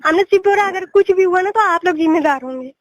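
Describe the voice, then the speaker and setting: A young woman presses a complaint on a recorded phone call. Her voice is extremely high and dry, with no room sound. She sounds aggrieved and keeps restating her point. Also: fast, dry recording. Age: young adult. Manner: insistent, aggrieved, repeating points. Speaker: caller, a police officer's daughter. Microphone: telephone call.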